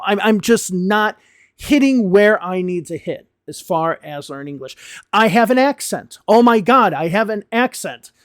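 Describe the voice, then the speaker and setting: A young American man speaks straight to his English students in a frank, fired-up tone, hitting words hard for emphasis. His voice sits high for a man. The sound is dry and close, with no room around it. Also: no echo. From United States. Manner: frank, impassioned rant with emphatic stresses. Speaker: English teacher. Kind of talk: talking-head video lesson.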